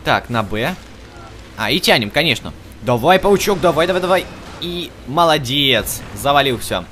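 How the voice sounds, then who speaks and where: High-pitched man chatting over a video game. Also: lively intonation, normal speed, fairly bright tone, slight background noise. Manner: chatty, thinks aloud, talks to the game characters. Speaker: video game let's-play host. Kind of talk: casual gameplay commentary. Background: video game music.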